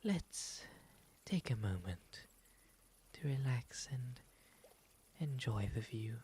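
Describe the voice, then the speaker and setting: A man plays a courteous, soft-spoken owl demon gentleman in an intimate ASMR roleplay. The breathy, half-whispered voice sits at a medium pitch and moves slowly through polite, soothing phrases. A faint ambient noise runs behind it. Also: expressive. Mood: serene, soothing.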